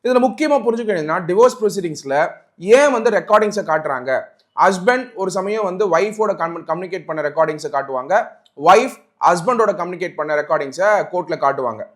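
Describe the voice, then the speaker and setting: A man with a Tamil accent speaks in a distinctly high register. He presents a legal explainer like an advocate teaching students, methodically restating each key point. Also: animated, quick pace. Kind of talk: explanatory legal talk mixing Tamil and English.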